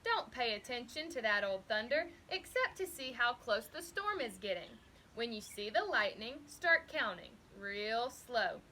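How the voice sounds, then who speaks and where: Woman reading as a steady, unhurried farm grandmother. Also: on the high side, a little hiss or hum, full character voice. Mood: steady, instructive. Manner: steady, soft, rustic phrasing. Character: steady, folksy farm grandmother, calm and reassuring. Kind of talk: children's storybook character reading.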